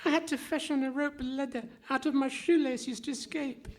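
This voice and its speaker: A woman's voice: high voice, steady tone, moderate pace, a little mellow, natural voice. A woman playing a naive, dramatic young boy scout who reads out his own stage directions in a parody of old radio comedy. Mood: earnest, hapless. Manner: melodramatic, self-narrating. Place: live on stage in a hall.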